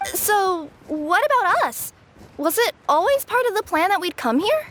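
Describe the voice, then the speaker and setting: A woman's voice: very high voice, sing-song, unhurried, a bit bright, slight background noise, full character voice. A woman voicing a naive, earnest little girl in a video game, sweet and a little hesitant. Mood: curious, sheepish.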